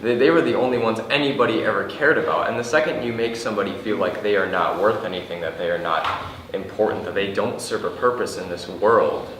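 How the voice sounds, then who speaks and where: A man speaking earnestly as an actor in a group discussion, haltingly, repeating words and restarting. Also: lowish voice. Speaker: actor at a table read. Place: indoor room with a group. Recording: distant, very echoey room, noisy.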